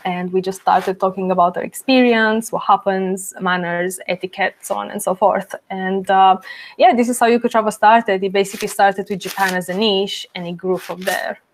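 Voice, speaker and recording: Romanian-born woman in her 20s on a podcast over a video call, friendly, says um a lot, mid pitch. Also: steady tone, normal speed, a little hiss or hum. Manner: friendly, many um fillers, laughs lightly. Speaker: podcast guest, travel blogger. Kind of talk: podcast interview.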